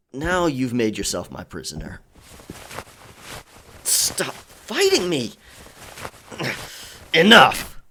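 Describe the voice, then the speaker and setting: A man's voice: medium pitch, bright, forceful tone, slight background noise, exaggerated voice. A man playing a roguish pirate captain, courteous but firm, with gallant old-fashioned phrasing in an intimate ASMR roleplay. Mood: stern, exasperated. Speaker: audio roleplay performer. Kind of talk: ASMR audio roleplay, intimate dramatic acting.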